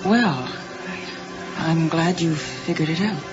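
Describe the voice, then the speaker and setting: An actress playing a composed, probing female hospital doctor in a radio drama, measured and questioning. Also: low voice. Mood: calm, probing. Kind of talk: 1980s radio drama acting. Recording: dull 1980s radio broadcast with music.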